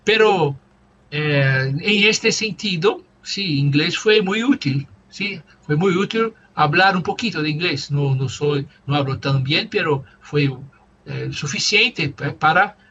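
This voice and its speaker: A man whose native tongue is Portuguese talks slowly, stopping often to hunt for the right word. His voice sounds bright and a little high. The audio is somewhat muffled, with faint background noise. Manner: halting, searching for words, many fillers. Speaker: language student. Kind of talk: casual conversation practice.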